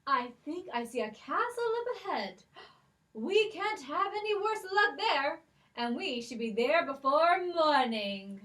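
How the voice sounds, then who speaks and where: A plucky young girl voiced by a woman in a children's puppet show. Her voice is extremely high and very lively in pitch. She speaks slowly, with confident, exclamatory delivery. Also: exaggerated voice. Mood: plucky, triumphant.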